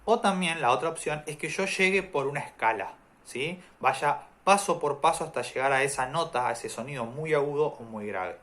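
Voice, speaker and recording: A man teaching music theory in a calm, methodical video lesson, walking through examples step by step. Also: a little high, normal speed, few pauses.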